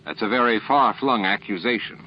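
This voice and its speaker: A man's voice: on the low side, brisk, highs cut off, slight background noise, lightly acted. A man voicing a stern, grave space station captain in an audiobook, indignant but controlled. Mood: firm, controlled.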